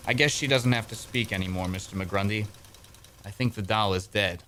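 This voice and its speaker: A man's voice: fairly low pitch, normal speed, noisy background, lightly acted. A young actor playing an earnest, nervous young man in a community radio play. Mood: relieved. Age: young adult. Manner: anxious, then frantic.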